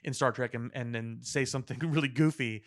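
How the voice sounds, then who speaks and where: Guy podcast co-host, casual chat, kinda explains stuff in a measured dry way, mid pitch, in a studio. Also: steady tone. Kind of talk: casual pop culture chat.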